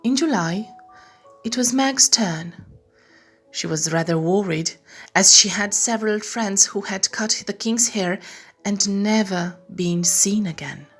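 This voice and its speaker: A woman reads a scripted bedtime story as narrator. Her delivery is unhurried and expressive, with a soft husky edge, and music plays underneath. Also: medium pitch.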